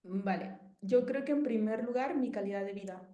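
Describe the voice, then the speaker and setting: A woman in her thirties with a Mexican Spanish accent talks through a casual testimonial interview. She rambles, stacks up fillers and restarts her sentences. Her voice sits in a middle pitch and carries a roomy echo. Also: moderate pace, warm tone. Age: 30s.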